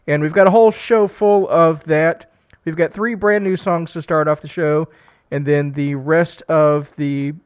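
Guy hosting a local radio show, got a cold, smooth clear voice, chatty and friendly. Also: on the high side. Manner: upbeat, chatty, self-deprecating, with fillers and restarts. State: fighting a cold. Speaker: radio host. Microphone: studio broadcast microphone.

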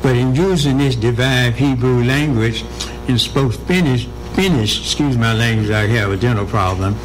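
A man talking as a radio guest, his speech halting and full of fillers and repeated words. His pitch sits mid-range and the recording carries clear background noise. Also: poor audio. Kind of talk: radio interview.

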